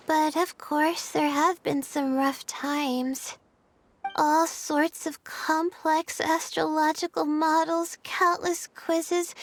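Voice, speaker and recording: A woman voicing an anxious, modest young astrology student in an anime-style video game, speaking in a hesitant, earnest way. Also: very high voice. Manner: hesitant, earnest, soft-spoken.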